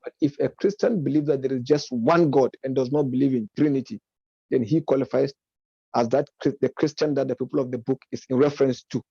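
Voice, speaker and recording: Man, mid-pitch, very dark tone, argumentative lecture delivery with frequent self-corrections; dry, muffled recording. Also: fairly even intonation, brisk, vocal fry, husky, no echo. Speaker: speaker on politics and religion. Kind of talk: unscripted lecture-style commentary.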